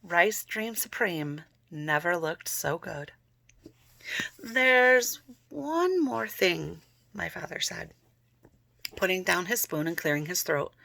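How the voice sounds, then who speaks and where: A woman reading a children's book aloud, with chatty asides to listeners and soft whispery moments. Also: on the low side, a little slow, vocal fry, no room sound. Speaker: storyteller.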